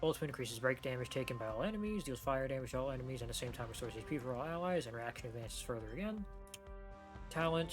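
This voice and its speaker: A male streamer commentates on a game in a casual, offhand way. His voice has a middling pitch, and he thinks aloud with some swearing while game music plays faintly behind.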